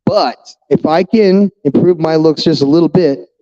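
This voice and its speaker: A man's voice: a little high, normal speed, mellow, muffled recording. A fifty-year-old man talking bluntly and profanely to his viewers in a casual vlog monologue. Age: 50s. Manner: talks straight to viewers. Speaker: vlogger.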